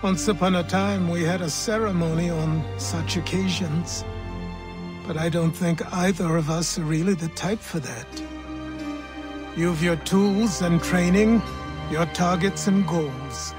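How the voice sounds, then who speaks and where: An actor playing a stern but fond elderly mentor in a video game. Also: male voice, high-pitched, steady tone, unhurried. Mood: solemn. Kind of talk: video game cutscene acting. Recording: music under the voice.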